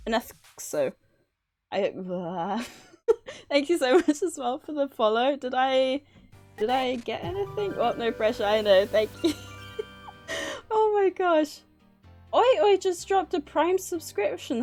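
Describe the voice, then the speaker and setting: A woman's voice: high voice, big pitch swings, slow, a little mellow, soft background music. A woman livestreamer chatting casually with her viewers in a flustered, nervous, giggly and effusively thankful manner. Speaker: livestreamer and author hosting a stream. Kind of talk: casual live chat with viewers. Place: livestream, indoors at a computer.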